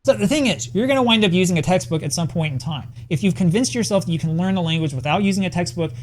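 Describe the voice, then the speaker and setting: A man delivering a scripted video essay with language-learning advice, in a brisk, earnest manner. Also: high-pitched, expressive, a little mellow.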